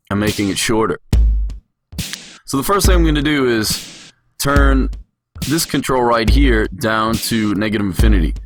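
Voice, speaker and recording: A man narrating a software tutorial as an instructor, explaining things step by step. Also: on the low side. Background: music production sounds, drum hits.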